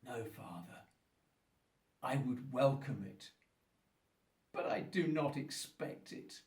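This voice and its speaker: A lonely brooding monster in a radio drama, really slow n grand, echoey room. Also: male voice, medium pitch, expressive, a little mellow. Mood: bitter, mournful. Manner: slow, grandiloquent, pleading and menacing delivery. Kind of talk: theatrical radio play acting. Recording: roomy echo, distant from the mic.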